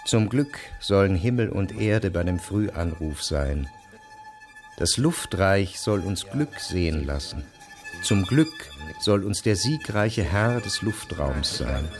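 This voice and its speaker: A man playing a solemn reciter of ancient hymns in a radio drama, speaking in a measured, incantatory manner. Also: low voice, expressive, music in the background.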